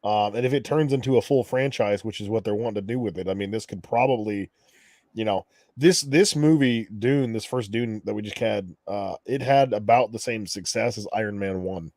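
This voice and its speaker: Mann, tiefe Stimme, zögerlich, Wortwiederholungen, trockener Klang. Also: male voice, on the low side, normal speed, a little mellow, dry recording, clean studio sound. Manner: hesitant, repeats words, clears throat often. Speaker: co-host. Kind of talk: casual livestream chat.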